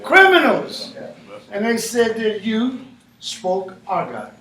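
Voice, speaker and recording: A man giving an informal lecture to a small live audience, animated and often questioning the listeners. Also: sits high, slow pace. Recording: echoey room, mic far off.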